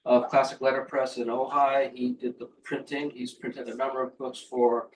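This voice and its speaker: A man's voice: mid-range voice, flat delivery, moderate pace, distant mic, a little hiss or hum, poor audio. A man hosting a book event, thanking contributors in a plain, hesitant way. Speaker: event host.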